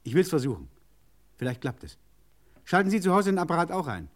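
An actor in a German radio crime drama playing a practical, helpful garage mechanic who talks in a halting, reassuring, matter-of-fact way. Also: male voice, on the high side, very animated. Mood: helpful, eager.

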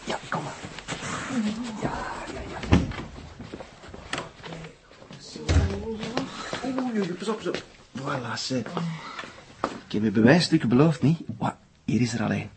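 An actor playing an obsessive, menacing Flemish captor in a television crime drama, coaxing with sing-song mock tenderness. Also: male voice, medium pitch, very animated, moderate pace, pauses often, heavy background noise.

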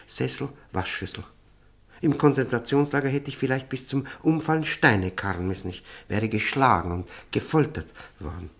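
An actor playing a haunted, cultured former prisoner in a radio play, telling his story intensely and deliberately with heavy pauses. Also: mid-range voice. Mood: ironic. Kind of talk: dramatic monologue in a radio play.

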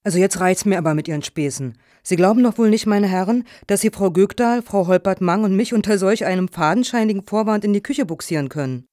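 An actress playing an officious foundation official who takes charge in a satirical radio play, firm and businesslike. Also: female voice, medium pitch, fast, hardly any pauses, mellow, no echo, clean studio sound, close to an everyday voice.

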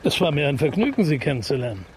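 An actor playing a polite, opera-loving police detective in a radio play, musing and probing gently in a roundabout way. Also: male voice, a little high, sing-song, quick pace, slight background noise. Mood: courteous, wry. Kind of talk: naturalistic radio drama acting.

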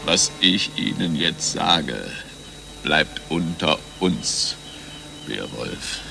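A man playing a pompous, fatherly older commander who stammers haltingly, in a satirical radio play. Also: very deep voice, expressive, vocal fry, music in the background, exaggerated voice. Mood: confiding. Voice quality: stammer, catching on first vowels.

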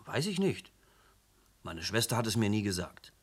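A man's voice: on the low side, sing-song, normal speed, slightly rough, exaggerated voice. An actor playing a sulky young man who dodges questions in a radio play and rises to tearful protest. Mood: sullen. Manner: evasive, rising to tearful outbursts. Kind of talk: radio drama acting.

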